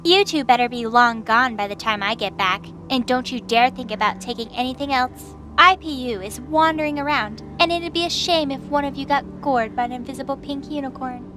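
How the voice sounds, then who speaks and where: A woman's voice: high-pitched, brisk, few pauses, a bit bright, faint music behind. A woman voicing a proud, bossy, threatening young phantom queen in an audio drama. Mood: bossy, menacing. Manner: bossy, brash, taunting. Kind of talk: audio drama character acting.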